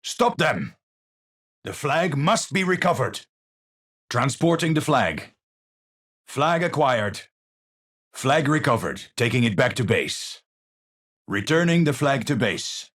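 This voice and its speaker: An actor playing a cerebral, haunted, middle-aged scientist in a video game, speaking formally and precisely with theatrical, pensive phrasing. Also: male voice, medium pitch, sing-song, slow pace, long pauses, fairly bright tone, slightly rough, heavily acted. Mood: composed. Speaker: video game hero character. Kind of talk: scripted game voice lines, theatrical.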